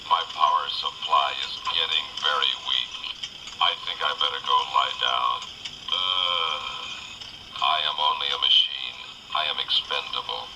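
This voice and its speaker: A man's voice: extremely low pitch, heavily acted. An actor playing a dutiful, authoritative male robot with formal, declamatory delivery in a 1960s science fiction television style. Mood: weak, fading. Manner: formal, declamatory, clipped announcements. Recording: noisy lo-fi playback from small speaker.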